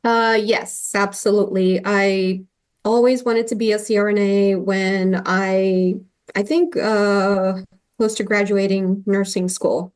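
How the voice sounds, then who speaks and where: A woman from Colombia tells her story as an interview guest. She speaks earnestly, at a mid pitch, with many likes, ums and self-corrections. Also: flat delivery, slow pace, clean tone. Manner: warm and earnest, with many fillers and restarts. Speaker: guest, nurse anesthetist. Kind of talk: conversational interview.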